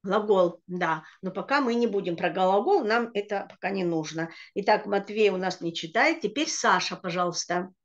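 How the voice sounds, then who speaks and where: A middle-aged woman leading an online Hebrew reading lesson in Russian, patiently sounding out words and explaining their meaning. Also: medium pitch. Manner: patient, slow and deliberate when sounding out words. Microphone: computer mic on a video call. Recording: dull lo-fi video call audio.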